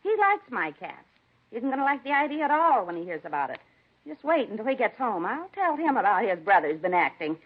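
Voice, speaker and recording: Indignant, cat-doting American woman neighbor, very animated intonation, rambling, muffled old radio recording. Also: on the high side, fairly quick, husky. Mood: huffy. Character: nosy, gossipy, indignant female neighbor who dotes on cats. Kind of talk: 1950s radio drama acting. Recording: dull 1950s radio broadcast recording.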